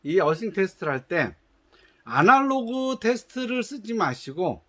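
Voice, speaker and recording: A man's voice: high-pitched, sing-song, measured pace. A man from a shoe company patiently explains how to test his product, with frequent hesitations and restarts. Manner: gives instructions in polite formal speech.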